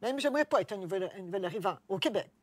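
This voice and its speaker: A woman's voice: mid-range voice, animated, rapid-fire, dry recording. A woman with a Québécois accent telling personal stories in an earnest, emphatic way. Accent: Québécois French. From Quebec. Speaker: discussion participant. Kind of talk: personal storytelling.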